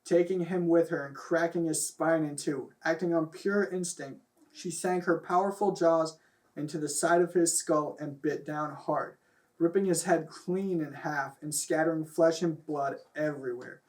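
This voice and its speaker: A man's voice: on the high side, fairly even intonation, moderate pace. A man reading fan fiction aloud and chatting casually about it, with a loose, sweary delivery. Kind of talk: reading a story aloud, then casual chat.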